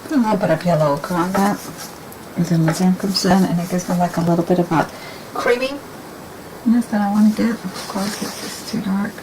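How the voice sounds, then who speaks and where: A woman talking casually and thinking aloud as she paints, with a soft, rambling manner. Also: mid-range voice, brisk, background noise. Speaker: painting instructor. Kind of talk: casual painting demo talk.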